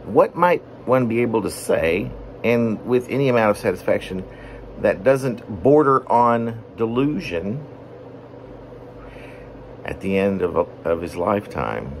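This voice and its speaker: Man, deep voice, poet reading, animated intonation, deliberate, wordy, mid-phrase pauses, slight background hum. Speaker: poet reading own work. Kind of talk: poetry reading, rambling semi-narrative monologue.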